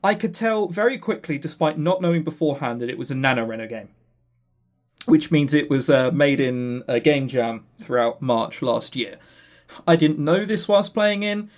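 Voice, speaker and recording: A man talks casually as a podcast co-host with strongly varied intonation. He is blunt, scornful and quick to swear, stammering as he drives his opinions home. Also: fairly high pitch, animated. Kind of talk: casual opinionated game review chat.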